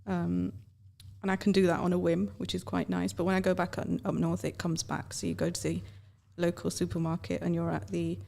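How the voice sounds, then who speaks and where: A woman from Yorkshire, speaking as a guest on a radio show. Her voice is lowish with a gravelly fry, and she explains things in a measured, articulate way. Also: level tone, dark tone. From Yorkshire, England. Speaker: radio guest, legal professional. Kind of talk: casual radio interview.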